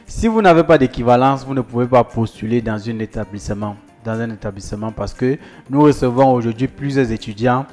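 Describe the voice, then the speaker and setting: A man of middle pitch explains study procedures and talks straight to his viewers. He repeats his key points with insistence, and soft music plays behind him. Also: fairly quick. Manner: repetitive, emphatic, addresses viewers directly. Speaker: study-abroad advisor. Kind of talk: explanatory talk to camera.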